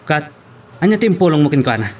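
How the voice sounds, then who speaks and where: A man's voice: on the high side, very animated, quick pace, a little mellow. A man acting an angry, threatening, controlling lover who fires demanding questions in an Ilocano radio drama. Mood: accusing anger.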